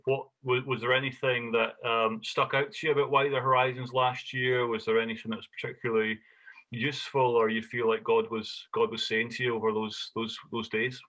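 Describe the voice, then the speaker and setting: A man leading a relaxed conversational interview, speaking with many fillers and restarts. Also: mid-range voice, a little fast, low-quality recording. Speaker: interviewer, youth charity director.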